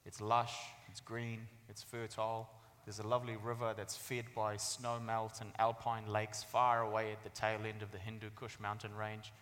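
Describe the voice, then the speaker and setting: A man's voice: on the low side, monotone, normal speed, fairly bright tone. A man, giving an articulate, storytelling talk about his own life as a guest author. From Afghanistan, later New Zealand. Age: 30s. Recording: echoey hall with long reverb.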